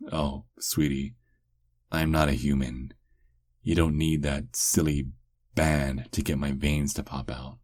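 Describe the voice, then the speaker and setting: A man playing a sarcastic, flirtatious captive werewolf in an ASMR roleplay, teasing with lingering pauses. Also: very deep voice, level tone, darkish tone, no room sound, studio quality, exaggerated voice. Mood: cocky, amused.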